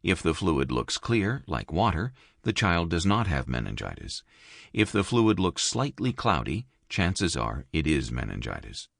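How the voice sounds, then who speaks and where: A man reading an audiobook in a measured, expressive studio narration. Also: vocal fry, no echo. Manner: measured, expressive reading with wide pitch movement. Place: dry recording booth. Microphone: close studio mic.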